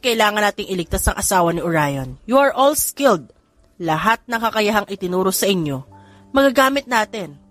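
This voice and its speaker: A woman's voice: mid-range voice, fairly bright tone, no room sound, close to an everyday voice. A woman voicing a composed, commanding mother in an audiobook drama, with music behind. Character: composed, commanding mother of skilled grown children. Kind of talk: audiobook character dialogue.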